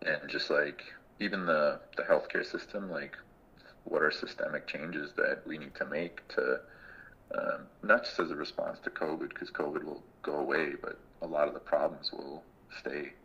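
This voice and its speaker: A man in his thirties, a guest in a casual interview, speaking in a thoughtful, reflective way and pausing often mid-sentence. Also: extremely low pitch, fairly even intonation, a bit bright, lo-fi sound. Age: 30s. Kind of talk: casual conversation.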